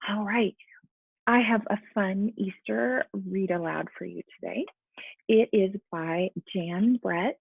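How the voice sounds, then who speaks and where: A woman's voice: mid-range voice, vocal fry, husky, dry recording. A woman teacher speaking encouragingly to young children, as in a lesson and storybook read-aloud, with muffled sound. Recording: muffled, phone-like narrow-band sound.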